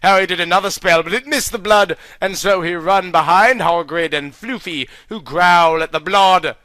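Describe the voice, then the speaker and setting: Bright-voiced man reading mock-earnestly. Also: high voice, normal speed, dry recording. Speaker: host reading a fan story aloud. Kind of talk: comedic dramatic reading.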